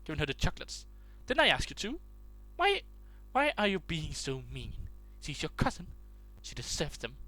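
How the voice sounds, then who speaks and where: A man's voice: a little high, wildly swooping pitch, normal speed, hardly any pauses, sharp tone, no room sound. A man reading online posts aloud in a casual way, stumbling and restarting words as he goes.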